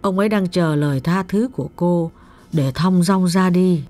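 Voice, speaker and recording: A man's voice: sits high, moderate pace, a little mellow, pitched above the performer's own voice, close to an everyday voice. Man doing an insistent female spirit medium. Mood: urgent coaxing. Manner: urgent, insistent urging. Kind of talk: audiobook narration.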